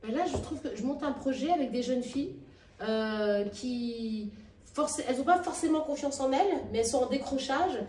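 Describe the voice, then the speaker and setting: A woman being interviewed, with frequent restarts and hesitations that give way to emphatic, lively stretches. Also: high voice, normal speed. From Nigeria and Madagascar. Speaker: actress and workshop founder, interviewee. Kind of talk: spontaneous interview talk. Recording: echoey room, heard from a distance.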